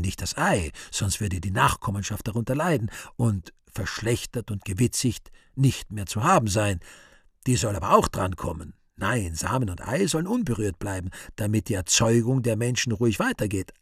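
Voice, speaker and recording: Radio drama devil: husky, very animated, dry close sound, mock-polite, sardonic and sly. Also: male voice, fairly low pitch, no echo, heavily acted. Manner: theatrical, mock-polite, insinuating. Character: sardonic, courteous devil with a salesman's slyness. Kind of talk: radio play acting, reading own stage directions.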